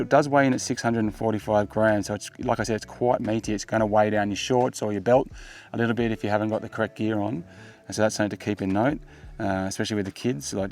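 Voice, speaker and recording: Low-voiced Australian man reviewing gear. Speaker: tech vlogger, product reviewer. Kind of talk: casual product review to camera.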